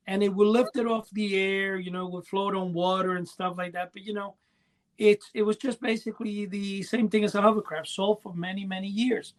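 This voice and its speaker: A man's voice: high-pitched, fairly even intonation, moderate pace, poor audio. A middle-aged man chatting casually as a podcast co-host, explaining in a rambling way and correcting himself as he goes.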